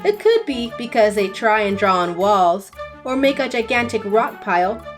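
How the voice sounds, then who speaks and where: A young adult woman hosting a children's channel, reading a picture book aloud in an expressive, sing-song way. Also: medium pitch, normal speed, music in the background. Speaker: children's story reader. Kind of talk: read-aloud storytelling for children.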